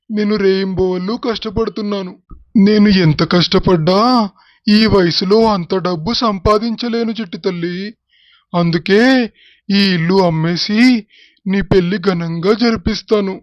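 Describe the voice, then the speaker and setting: A man playing a gentle, sorrowful village father who explains things tenderly and patiently, in an animated moral story. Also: high voice, no echo, muffled recording, lightly acted. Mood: weary, devoted. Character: gentle, sorrowful, doting village father and cremation-ground keeper.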